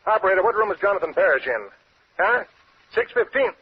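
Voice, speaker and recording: An actor playing a blunt, bossy, excitable homicide inspector in an old-time radio drama. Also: male voice, high-pitched, a little fast, breathy edge, heavily acted. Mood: urgent. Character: no-nonsense, excitable American male homicide inspector. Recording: muffled, noisy vintage radio recording.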